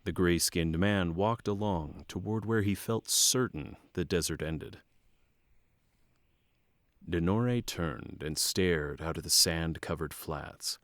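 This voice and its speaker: A man's voice: low-pitched, no room sound, clean studio sound. A man reading scripted narration for a fantasy audio drama.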